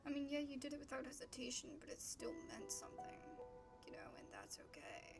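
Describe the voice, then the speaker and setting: High, creaky-voiced woman game streamer. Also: wildly swooping pitch, a little fast, in a whisper. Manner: informal, conversational asides. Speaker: gaming streamer. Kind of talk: casual reaction commentary over a game. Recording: clear music behind the voice.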